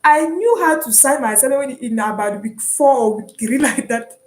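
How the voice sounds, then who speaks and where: A young woman from Cameroon with a Cameroonian English accent, chatting casually and encouragingly about her online studies, often pausing with "um". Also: sits high, echoey room. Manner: chatty and encouraging, with frequent "um" and restarts. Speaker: vlogger and online student. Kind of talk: casual explanatory vlog.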